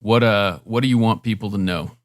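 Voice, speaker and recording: Lower-pitched man hosting a casual chat. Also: fairly quick. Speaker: podcast host.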